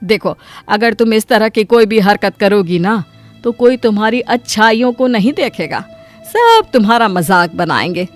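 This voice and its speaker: A woman voicing a kindly middle-aged auntie in a cartoon moral story, advising in a gentle, motherly way. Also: medium pitch, big pitch swings, normal speed, faint music behind, lightly acted.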